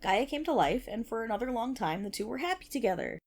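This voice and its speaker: A woman's voice: a little high, expressive, fast, darkish tone. A woman playing a nerdy, playful best friend in an ASMR roleplay, rambling casually to the listener with wry asides and self-interruptions. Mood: enthusiastic storytelling.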